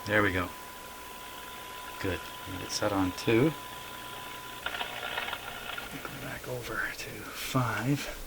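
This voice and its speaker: A man's voice: on the low side, slow pace, pauses often. A man giving a casual hands-on demonstration, muttering in short fragments to himself as he works. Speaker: radio repair hobbyist demonstrating. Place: radio repair workshop. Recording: very noisy recording, constant background noise.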